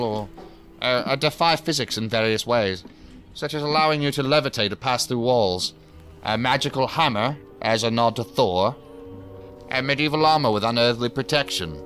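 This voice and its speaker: A man acting a jaded male professor in a sci-fi audio drama. He lectures in wordy, condescending sentences with dry sarcasm. His pitch sits in the middle range, with faint music behind him. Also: a bit bright, close to an everyday voice. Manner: pompous, wordy, sardonic.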